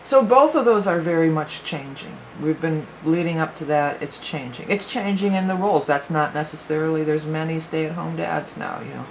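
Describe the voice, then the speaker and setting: A woman's voice: deep voice, moderate pace, hardly any pauses, poor audio. Lady rambling through an astrology forecast, voice going a bit, lots of ums, faint hiss behind. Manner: rambling, thinks aloud with many fillers. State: losing her voice a little. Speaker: psychic astrology reader. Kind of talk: casual spoken astrology forecast.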